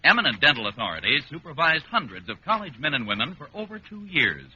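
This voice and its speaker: Forceful, very bright radio pitchman. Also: very animated, quick pace, slightly rough, highs cut off. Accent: American. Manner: authoritative pitchman. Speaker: commercial announcer. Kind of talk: 1940s-50s scripted radio advertising. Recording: band-limited old radio recording.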